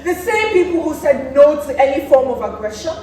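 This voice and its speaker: A woman's voice: high voice, very animated, a little fast, a little mellow. A young Nigerian woman acting a stern, accusing woman of authority on a theatre stage. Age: young adult. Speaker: student stage actor. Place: theatre stage, recorded from afar. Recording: distant, echoey theatre with noise.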